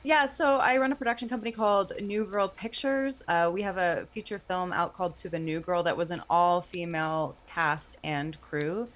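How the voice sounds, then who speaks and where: Lower-voiced woman, casual interview guest. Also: moderate pace, few pauses. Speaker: interview guest, podcast creator.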